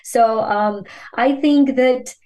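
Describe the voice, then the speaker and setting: A Ukrainian-born woman, a native Ukrainian speaker, with a voice that sits a little high. She talks as a podcast guest, earnest and emphatic, and often slips into the tone of a coach. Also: slow, darkish tone. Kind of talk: podcast interview.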